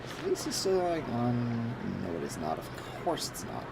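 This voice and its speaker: A man's voice: mid-range voice, huge pitch swings, normal speed, very noisy. A man streaming a game, commenting casually in an offhand way, half muttering to himself. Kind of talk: casual livestream commentary on gameplay. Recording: game music behind the voice.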